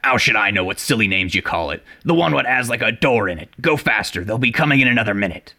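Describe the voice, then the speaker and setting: A man voicing an indignant, easily enraged owl in a storybook, hoarse, with rustic, ungrammatical, scolding speech. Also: on the low side, expressive, fast, pushed and bright, pitched above the performer's own voice. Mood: startled, bossy. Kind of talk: storybook character voice.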